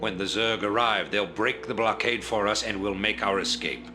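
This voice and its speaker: A calculating male revolutionary commander voiced by an actor for a video game. He speaks in a deep voice with grave, measured authority and an orator's phrasing, over prominent music. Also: lightly acted. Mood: resolute, accusing. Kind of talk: video game cutscene acting.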